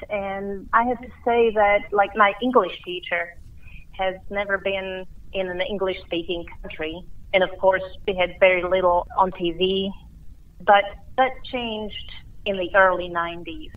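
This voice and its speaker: Slovak woman on a tinny phone line. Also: mid-range voice. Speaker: guest musician. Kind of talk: phone interview.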